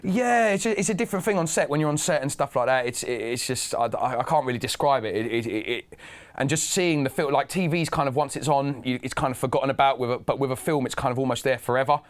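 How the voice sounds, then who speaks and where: A man's voice: mid-range voice, fast. A man in his thirties who grew up in Russia, a guest actor and filmmaker talking casually, often stumbling and restarting his sentences. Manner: stammering restarts, chatty, laughs often. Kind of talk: casual interview.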